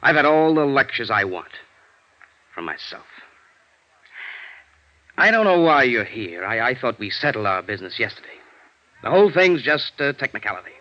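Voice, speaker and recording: A man's voice: medium pitch, sing-song, normal speed, pauses often, lightly acted. An American man acting a defiant, bitter, educated embezzler held in jail, agitated and defensive, in a vintage radio drama. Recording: dull lo-fi vintage radio recording.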